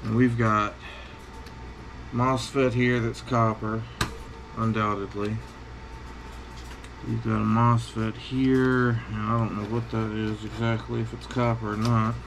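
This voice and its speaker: A man's voice: lowish voice, flat delivery, slow pace, pauses often. A man giving a casual hands-on how-to, plain-spoken, talking in short phrases as he works at an indoor bench. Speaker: scrapping tutorial host. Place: indoor cluttered workbench. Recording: clearly noisy workshop ambience.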